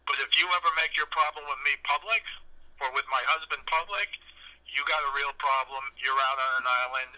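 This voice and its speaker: A man's voice: mid-range voice, steady tone, a little fast, bright, forceful tone. A man in his fifties from New York, with a New York accent, telling long rambling stories in casual podcast chat over a phone line. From New York City. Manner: rambling storyteller with fillers.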